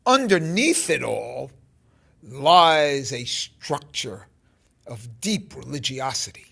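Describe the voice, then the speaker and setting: A man in his fifties giving an informal spiritual talk to his phone, halting and rambling, with emphatic stresses and a gravelly voice. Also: medium pitch, lively intonation, fairly bright tone. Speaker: spiritual teacher. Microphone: phone held close at arm's length.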